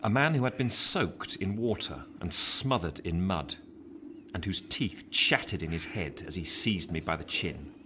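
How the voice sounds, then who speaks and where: A man's voice: deep voice, animated, measured pace. A man playing a reflective adult narrator recounting his childhood, in dramatic literary style with an English accent. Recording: faint background noise.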